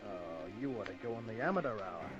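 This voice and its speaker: An actor playing a tough, wisecracking private detective in a clipped, dry, world-weary manner, in vintage radio noir style. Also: male voice, fairly low pitch, sing-song, brisk, loud music behind the voice. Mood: hard, commanding. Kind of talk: 1950s radio noir acting and narration. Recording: dull vintage radio recording.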